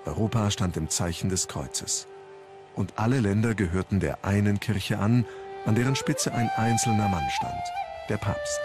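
Male documentary narrator, lowish register, measured storyteller reading from script, music bed underneath.

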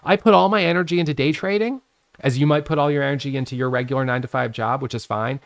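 A man in his late thirties giving a casual whiteboard lesson on trading from his home office, talking with his hands into a boom-arm studio mic. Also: fast, gravelly, dry recording. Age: 30s. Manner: animated, talks with his hands, drawing on a whiteboard. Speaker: trading educator. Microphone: studio microphone on a boom arm.

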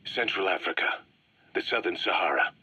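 A man acting a stern, distrustful military interrogator in a video game, briefing with measured authority. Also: sits low, lively intonation, sharp tone, slightly rough, exaggerated voice. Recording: dull, dry radio-like cassette recording.

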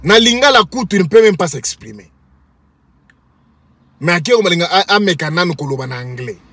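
A man's voice: high-pitched, lively intonation, fairly quick, sharp tone, no echo. A man, giving a scornful, insulting commentary from inside a parked car to his phone camera. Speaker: commentary vlogger. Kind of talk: mocking commentary vlog in Lingala and French. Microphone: phone camera at arm's length.

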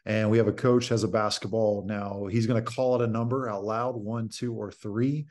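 A man's voice: fairly low pitch, fairly even intonation, normal speed, darkish tone. A man in his thirties, a basketball coach, explaining a practice drill plainly over a video call. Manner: plain, explanatory, many fillers. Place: home office indoors. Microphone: video call webcam.

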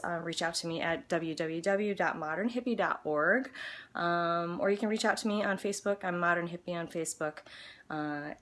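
A woman in her thirties, a little hoarse from a cold, chatting casually with lots of ums as she shows a home remedy in her kitchen. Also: lowish voice, fairly bright tone. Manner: chatty, with many ums and uhs. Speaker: natural health blogger. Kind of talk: casual how-to vlog. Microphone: built-in camera mic, close and sometimes farther off.

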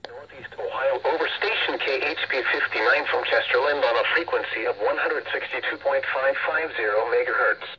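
American man, scripted weather bulletin, lo-fi radio speaker, clearly noisy signal. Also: mid-range voice, fairly quick, few pauses.

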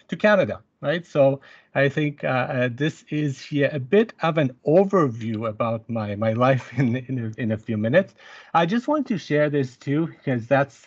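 A middle-aged man giving a presentation over a video call, pausing often with fillers and restarting his sentences. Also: mid-range voice. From born in Iran, grew up in Germany. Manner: halting, many fillers and restarts. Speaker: university instructor giving a talk. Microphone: video call webcam mic. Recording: dull video call audio.

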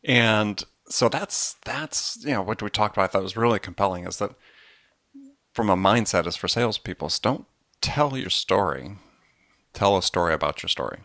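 A man's voice: fairly low pitch, animated, normal speed, gravelly, a bit bright, no echo, clean studio sound. A man speaking as a podcast host, explaining things conversationally in a rambling way with frequent asides. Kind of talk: conversational book discussion on a podcast.

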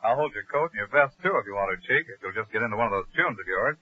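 A man's voice: on the low side, expressive, rapid-fire, slightly rough. An American man hosting a 1940s radio show, announcing and trading comic banter. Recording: old muffled radio broadcast.

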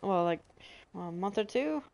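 A woman's voice: mid-range voice, animated, normal speed, vocal fry, darkish tone, slight background noise. A young woman streaming a game, chatting casually with giggles and thinking aloud. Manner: chatty, giggly, talks to herself. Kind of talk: casual gameplay commentary. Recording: game music behind.

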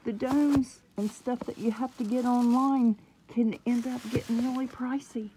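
A woman chatting excitedly about her seedlings, sometimes dropping to a murmur, recorded on a handheld phone indoors. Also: on the high side, measured pace, slight background noise, poor audio. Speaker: gardening vlogger. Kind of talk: casual home gardening vlog. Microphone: phone camera held close.

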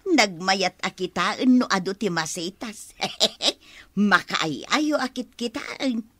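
A woman playing a chatty, wheedling older village aunt in an Ilocano comedy radio drama, coaxing with drawn-out exclamations. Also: medium pitch, animated, sharp tone, no room sound, full character voice.

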